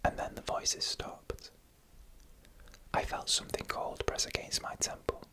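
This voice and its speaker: A narrator whispering scary stories in ASMR style, slowly and deliberately, with pauses between short phrases.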